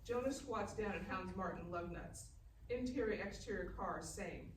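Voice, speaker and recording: Picked up at a distance in an echoey room with faint noise, a woman reads scripted stage directions. Her pitch is medium, in a narrator's delivery. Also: moderate pace. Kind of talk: scripted narration at live table read. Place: live reading in a festival room.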